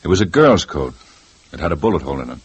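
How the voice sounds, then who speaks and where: A big-city police lieutenant with a very deep voice. He is laconic and steady, keeping his phrases short and unhurried. The delivery is that of 1950s radio crime acting. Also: slightly rough, heavily acted. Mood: probing, sober. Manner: terse, unhurried, reassuring.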